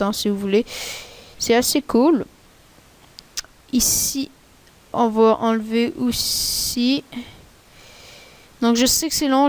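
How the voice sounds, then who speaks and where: A woman with a Québécois French accent, rambling casually through a screen-recorded software tutorial in a chatty, slangy way. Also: fairly high pitch, clean tone. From Quebec. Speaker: tutorial maker.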